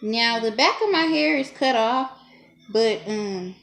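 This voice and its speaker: A woman's voice: a little high, expressive, takes it slowly, vocal fry, a bit bright. Chatty young woman in an echoey room. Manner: chatty, self-teasing, laughs often. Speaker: hair tutorial vlogger. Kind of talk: casual talk-through tutorial. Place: small dim room at home. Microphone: camera propped low in front. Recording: echoey room, faint noise.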